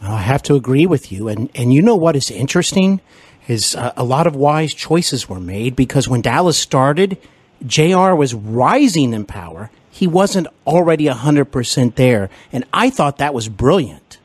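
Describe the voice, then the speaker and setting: A man's voice: medium pitch, animated, normal speed, slightly rough, no echo. Podcast host guy, mid voice, dead-dry mic, gushes over the guest. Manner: enthusiastic, complimentary, hesitant with fillers. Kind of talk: interview podcast.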